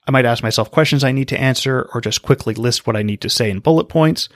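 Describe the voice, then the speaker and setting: A man hosting a podcast, giving calm, explanatory answers from a script. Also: medium pitch, fast talker, no room sound, studio quality. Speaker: podcast host.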